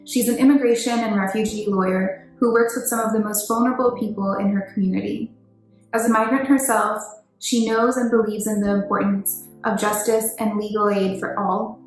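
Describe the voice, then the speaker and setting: A woman's voice: medium pitch, fairly even intonation, moderate pace, heavy reverb, faint music behind, poor audio. A woman program manager reading a formal introduction for the next speaker. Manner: formal, read-out welcome. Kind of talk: scripted introduction.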